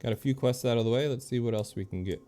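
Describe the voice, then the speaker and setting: A man giving casual, low-key gameplay commentary to himself and his stream viewers. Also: very fast, mellow. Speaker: game livestreamer.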